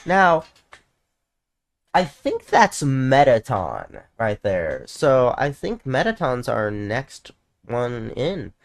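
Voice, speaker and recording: Slow-talking reaction host, casual and rambling. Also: mid-range voice, sing-song. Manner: rambling, frequent use of like.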